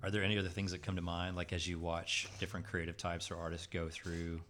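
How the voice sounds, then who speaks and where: Deep, quick male interviewer. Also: monotone, a bit bright, slight background noise. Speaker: interviewer, podcast host. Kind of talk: casual interview questions.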